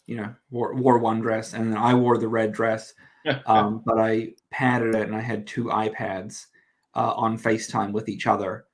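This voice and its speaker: A man's voice: fairly low pitch, flat delivery, normal speed. A man in his thirties from New Zealand, with an accent blending New Zealand and American, chatting as a guest with lots of self-deprecating restarts. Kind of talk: casual chat.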